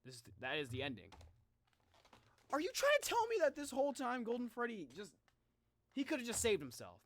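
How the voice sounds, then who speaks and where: A man's voice: extremely high pitch, huge pitch swings, brisk, a bit bright. A man doing casual live game commentary as a streamer, talking in slangy, reactive exclamations.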